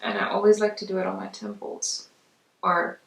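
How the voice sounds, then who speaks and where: A woman's voice: mid-range voice, level tone, normal speed, vocal fry, background noise. Roomy distant-mic sound, woman doing a chatty makeup tutorial, just talking casually as she goes. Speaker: makeup vlogger.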